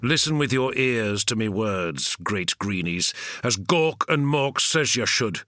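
An actor playing a zealous ork war prophet, proclaiming a dramatic prologue in a solemn, portentous manner. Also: male voice, on the low side, expressive, slow pace, pushed and bright, no echo, studio quality, full character voice. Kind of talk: dramatic scripted prologue narration.

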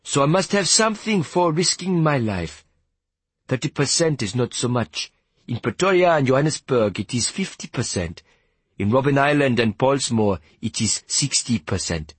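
A man's voice: mid-range voice, lively intonation, normal speed, breathy edge, no room sound, pitched above the performer's own voice. A shrewd South African convict, acted by a male narrator, pleading his case in a raised voice. He is deferential and wheedling, a storybook smuggler who always has his bargain ready. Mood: earnest, wheedling.

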